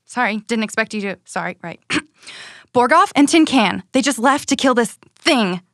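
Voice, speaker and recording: An earnest young woman runner in a cyberpunk audio drama. Her voice is fairly high and dry, insistent and protective in every plea. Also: expressive, moderate pace, no echo. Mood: urgent, determined. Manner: pleading, insistent.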